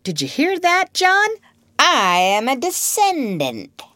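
An excitable little boy , as a woman acts him out, pitched high and swooping with eagerness. He exclaims each phrase in a wide-eyed, childlike storybook manner. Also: takes it slowly, dry recording, clean studio sound, full character voice. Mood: curious.

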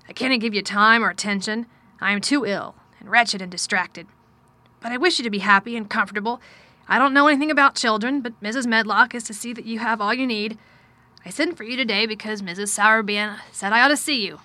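A woman acts out a miserable, distracted gentleman from a storybook. He is fretful and curt, speaking in abrupt, emphatic bursts with a bright, forceful edge. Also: medium pitch, fairly quick, no room sound, natural voice. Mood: worried, insistent.

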